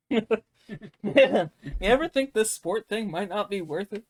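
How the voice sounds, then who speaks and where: Male gaming co-host, higher-pitched, wry casual banter, joking. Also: lively intonation, slow pace. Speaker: let's play co-host. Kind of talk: casual game commentary and banter.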